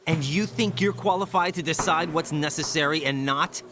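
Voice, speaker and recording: An actor playing a defiant, earnest young soldier who protests indignantly in a video game cutscene. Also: male voice, on the high side, normal speed, background noise, close to an everyday voice. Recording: music underneath. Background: music.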